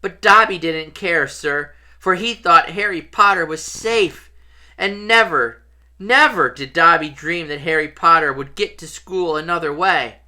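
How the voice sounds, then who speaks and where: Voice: mid-range voice, unhurried, sharp tone, pitched above the performer's own voice. A reader voicing a small, anxious male house elf, miserable and devoted, pleading and speaking of himself in the third person. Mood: earnest, anxious.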